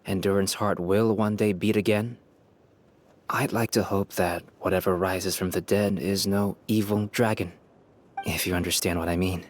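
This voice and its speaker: An actor playing a calm, polite young male researcher in a game, speaking formally and articulately. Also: deep voice, normal speed, close to an everyday voice. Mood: grave, thoughtful. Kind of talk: game character dialogue.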